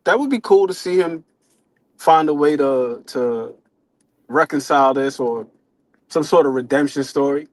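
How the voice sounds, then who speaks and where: A man's voice: on the high side, a little slow, pauses often, dry recording, rough recording. Casual man talking sports, dry close sound. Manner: informal, profane, reflective. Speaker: boxing talk show co-host.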